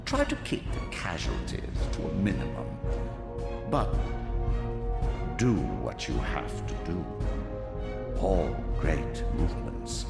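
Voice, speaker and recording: An actor playing a sly, manipulative old sorcerer villain in a video game, pompous and smooth-tongued. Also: male voice, rumbling low, sing-song, takes it slowly, pauses often, full character voice. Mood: wry, callous. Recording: echoing hall reverb under loud music. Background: loud game music.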